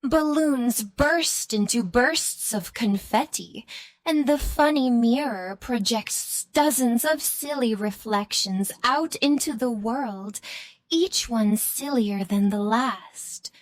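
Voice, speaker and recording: A woman's voice: fairly high pitch, takes it slowly, dry recording. A woman reading scripted narration for a children's bedtime story.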